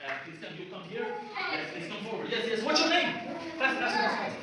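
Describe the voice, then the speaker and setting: Male pronunciation teacher, lively and high-pitched, recorded far from the mic with a noisy class around him. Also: a little fast, very echoey, over a noisy crowd. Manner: animated, encouraging, drilling sounds by repetition. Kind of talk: interactive classroom lesson. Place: indoor classroom. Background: class of students, room noise.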